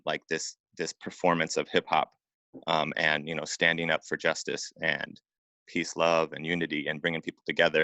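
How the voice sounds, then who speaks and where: A man from Oregon speaking as an interview guest, telling personal stories casually in an informal, halting way with repeated phrases. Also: low voice, sharp tone, no echo. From Oregon, United States. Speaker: interview guest, acupuncturist and massage therapist.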